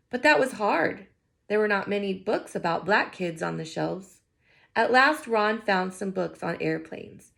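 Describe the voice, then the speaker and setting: A woman reading a picture book aloud to children in an expressive way, pausing to think out loud in wondering asides. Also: medium pitch, creaky. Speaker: read-aloud teacher.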